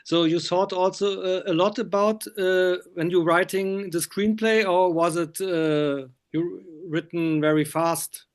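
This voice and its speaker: A man with a fairly high voice works as an interviewer in casual conversation. He speaks English as a German native would, haltingly, stopping to search for the right word. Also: fairly even intonation.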